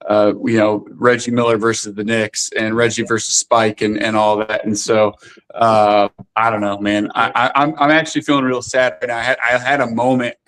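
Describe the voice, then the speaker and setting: A man in his forties, a podcast guest, talking in a halting way with many fillers and restarts. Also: mid-range voice, little pitch movement, a bit bright, smooth, low-quality recording. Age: 40s. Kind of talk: casual podcast chat.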